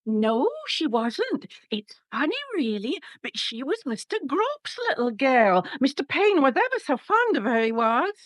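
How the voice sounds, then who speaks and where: An obliging, chatty small-town Englishwoman in a radio drama. Her voice is high and very lively in pitch, deferential in manner, and recorded close and dry. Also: unhurried, hardly any pauses, no echo. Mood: chatty, wistful.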